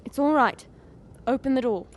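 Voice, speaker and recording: A woman's voice: high-pitched, moderate pace, highs cut off, a little hiss or hum, natural voice. A woman playing a loyal, frightened servant in a radio drama, deferential but urgent and jittery. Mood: tense. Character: frightened, loyal female servant, fierce when pressed.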